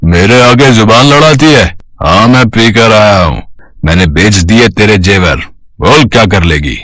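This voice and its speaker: A man playing a scheming young lover and abusive husband in a melodramatic cartoon story, husky and sly. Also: on the low side, expressive, measured pace, a bit bright, no echo. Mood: drunken menace.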